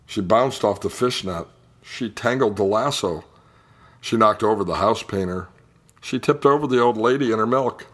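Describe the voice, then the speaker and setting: A man reading a children's picture book aloud in an expressive storytelling style. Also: lowish voice. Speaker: storybook reader.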